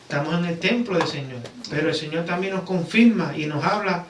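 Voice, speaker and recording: Male preacher, Puerto Rican accent, high voice, conversational sermon, rhetorical tag questions, distant mic. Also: a little fast. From Puerto Rico. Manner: repeatedly seeks agreement with rhetorical tag questions. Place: church sanctuary. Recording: roomy, distant mic, background noise.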